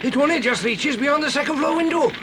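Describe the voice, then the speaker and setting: A man's voice: extremely high pitch, quick pace, noisy background, pitched above the performer's own voice. A man playing an obliging, deferential school handyman in a children's audio drama, calling out urgently. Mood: urgent, helpful.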